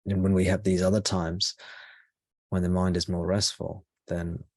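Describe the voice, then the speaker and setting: A man speaking as a spiritual teacher leading a guided meditation, in slow, measured short phrases with pauses between them. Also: low voice, flat delivery, darkish tone, no room sound, studio quality.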